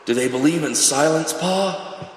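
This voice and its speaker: A man's voice: fairly high pitch, unhurried, slight background noise, close to an everyday voice. Man playing a naive son in a cavernous echo. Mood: timid, questioning. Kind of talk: surreal audio drama dialogue. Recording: very echoey reverberant hall.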